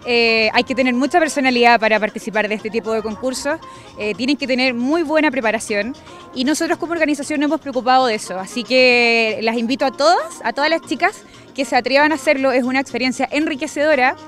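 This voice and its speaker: High-voiced Chilean woman in an interview. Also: fast talker, fairly bright tone, soft background music. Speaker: pageant organizer. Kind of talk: on-camera interview. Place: outdoors on a lawn. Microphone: handheld reporter microphone.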